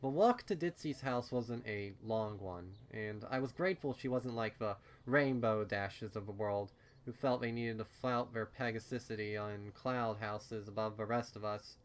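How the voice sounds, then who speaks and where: A man giving an expressive first-person reading of a fan fiction story as narrator. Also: lowish voice, normal speed. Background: music under the voice.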